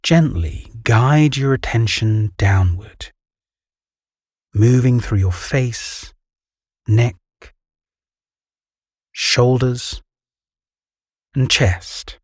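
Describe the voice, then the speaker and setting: A British man guiding a meditation in a calm, gentle, soothing voice with measured pauses and a soft, breathy tone. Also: medium pitch, no echo, studio quality. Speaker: meditation guide. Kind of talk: guided meditation narration.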